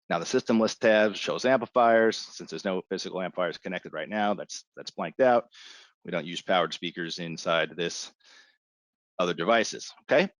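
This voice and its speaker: A man instructing in an informal, step-by-step way, as in a live software walkthrough. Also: on the low side, no echo, low-quality recording.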